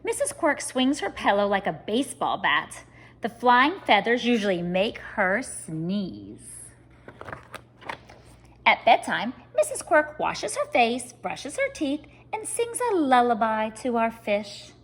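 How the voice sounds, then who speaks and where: A woman reading a children's story aloud like a teacher, expressive and speaking straight to young listeners. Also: a little high, slow, slightly rough. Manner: expressive, addressing children directly.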